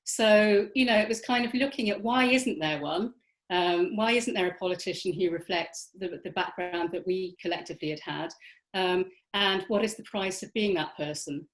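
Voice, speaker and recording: A woman's voice: on the low side, moderate pace. A woman explaining her work in a panel discussion, engaged and checking in with tag questions. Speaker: film writer or maker on a panel. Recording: echoey, reverberant room sound.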